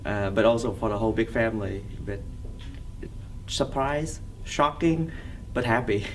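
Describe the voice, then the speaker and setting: A man's voice: fairly low pitch, expressive. A man in his thirties from Vietnam, a native Vietnamese speaker with a Vietnamese accent, answering interview questions in a hesitant way, searching for words. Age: 30s. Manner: hesitant, halting, frequent pauses searching for words. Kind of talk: oral history interview, recollection. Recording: somewhat distant mic, faint background noise.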